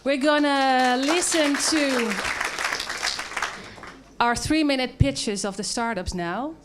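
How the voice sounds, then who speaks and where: A woman's voice: high voice, takes it slowly, over a noisy crowd, very noisy. A woman moderating a live event as host, introducing speakers and taking questions.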